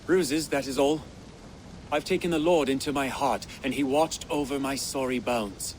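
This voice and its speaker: A man playing a devout, peaceable warrior in a game drama. He speaks earnestly and with measure at a middle pitch, over a faint background rumble. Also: normal speed, natural voice. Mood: earnest, serene. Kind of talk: dramatic video game acting.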